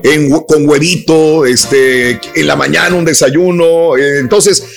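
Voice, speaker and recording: A man's voice: a little high, steady tone, a little slow, vocal fry, soft background music. A man hosting a conversational live radio show, addressing listeners directly and repeating phrases for emphasis. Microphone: close studio microphone.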